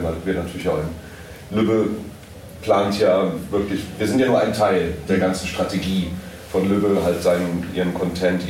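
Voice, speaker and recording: A man's voice: low voice, a little mellow. A man giving loose, rambling explanations as a guest in an informal live panel talk. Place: echoey convention room. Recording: very echoey room, distant, noisy.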